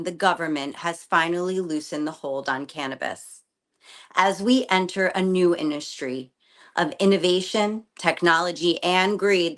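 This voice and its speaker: A woman's voice: fairly low pitch, a bit bright. A woman hosting a candid, slangy interview chat. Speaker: podcast host.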